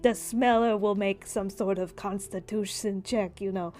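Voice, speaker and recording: A woman's voice: medium pitch, fairly even intonation, a little slow, a little mellow, faint music behind. A woman improvising as game master and explaining things conversationally in a tabletop roleplaying game. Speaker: game master voicing a shopkeeper in a tabletop RPG podcast.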